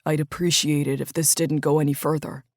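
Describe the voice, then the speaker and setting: A woman's voice: low-pitched, flat delivery, fairly quick, a little mellow, dry recording, studio quality. A woman voicing a troubled young man who talks in a subdued, regretful way, in an audiobook. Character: troubled young adult man, a guilty football coach. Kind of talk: audiobook character voice.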